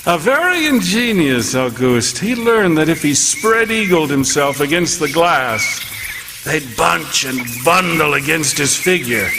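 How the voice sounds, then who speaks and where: A man playing a lighthouse keeper who narrates a rat siege, shifting from hushed recollection to excitement in a stage radio drama. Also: fairly high pitch, measured pace, music in the background. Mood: tense. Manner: storytelling, swings from hushed to excited. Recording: reverberant hall with loud noise.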